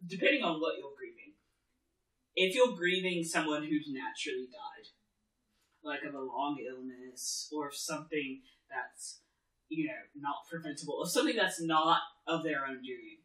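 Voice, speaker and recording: A man's voice: a little high, moderate pace, pushed and bright. A man chatting casually as a podcast co-host, emphatic and opinionated, repeating phrases as he builds his point, in a roomy space. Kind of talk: casual TV discussion chat. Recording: roomy, echoey room sound.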